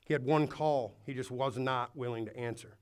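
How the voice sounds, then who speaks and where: Earnest male preacher, mid-pitched. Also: steady tone, normal speed, clean tone. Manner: earnest, urging, with restarts. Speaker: pastor. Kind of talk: sermon. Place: church hall before a congregation.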